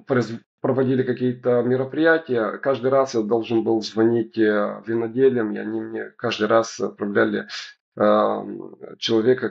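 A man with a gravelly Romanian accent, halting. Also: mid-range voice, a little mellow, muffled recording. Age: 40s. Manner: halting, searching for words, many fillers. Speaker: sommelier guest. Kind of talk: interview answers in a second language. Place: indoors at home, bright room.

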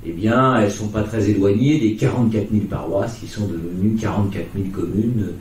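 Lowish-voiced man lecturing in echoey hall. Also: fairly even intonation, fairly quick, darkish tone, distant mic. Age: 40s. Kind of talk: explanatory public lecture. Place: conference hall, on stage. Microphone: microphone close in front. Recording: echoey hall, faint background noise.

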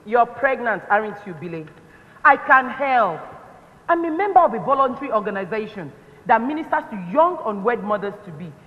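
A woman's voice: a little high, sing-song, moderate pace. A Nigerian woman on stage playing an earnest, insistent, motherly clinic matron. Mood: insistent pleading. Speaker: stage actress. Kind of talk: stage play acting. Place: stage in a large hall. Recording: echoey stage hall, muffled.

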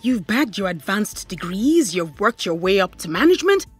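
A probing mother in an audio drama. Her husky voice swings up and down insistently, with soft music behind. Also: mid-range voice, big pitch swings, normal speed. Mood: pointed, coaxing. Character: pushy, probing mother of a grown child.

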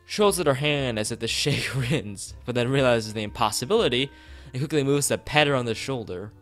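A man's voice: medium pitch, brisk, fairly bright tone. A man streaming a visual novel, reading its text aloud with casual asides, often stumbling and repeating phrases. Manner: stumbles over read lines and repeats phrases. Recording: music behind the voice. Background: background music.

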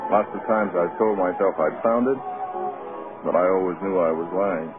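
An actor playing a charming, world-weary film star in an old-time radio drama. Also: male voice, sits low, normal speed, close to an everyday voice. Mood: wistful. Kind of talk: 1930s radio drama acting. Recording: tinny old radio recording with music.

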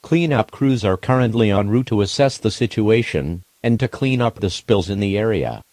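A man's voice: lowish voice, lively intonation, normal speed, a little mellow, no echo. A man's formal, impersonal synthetic voice reading a scripted emergency alert announcement.

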